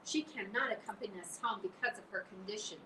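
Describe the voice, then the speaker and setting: A woman's voice: mid-range voice, fast, sharp tone, voice pushed up, close to an everyday voice. A woman voicing an anxious, emotional young male pig who pleads and cries out, read as an audiobook. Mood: urgent. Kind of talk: audiobook reading. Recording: roomy, distant mic, faint hiss.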